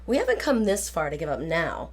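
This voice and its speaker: An actress playing a smart, brisk, no-nonsense sister in a radio drama set in the 1930s. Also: female voice, low-pitched, wildly swooping pitch, slightly rough, faint music behind, exaggerated voice. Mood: brisk, determined. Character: smart, no-nonsense go-getter schoolteacher big sister.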